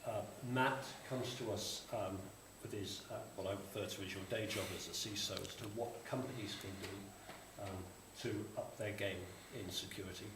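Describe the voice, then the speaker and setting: A man moderating a panel discussion, halting with many pauses and restarts. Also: lowish voice, steady tone. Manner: hesitant, many ums and restarts. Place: conference hall at a tech fair. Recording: echoey hall from far off, noisy.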